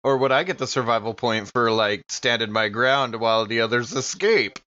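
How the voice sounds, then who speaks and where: A man's voice: mid-range voice, moderate pace, sharp tone, no echo. A man playing a tabletop game, casually describing what his character does, on a slightly dull recording. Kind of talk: casual roleplay chat.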